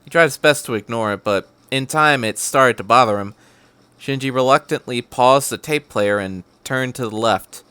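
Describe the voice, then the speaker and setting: A man's voice: medium pitch, moderate pace, sharp tone, smooth, no echo. A man hosting a podcast and reading fan fiction aloud as scripted narration.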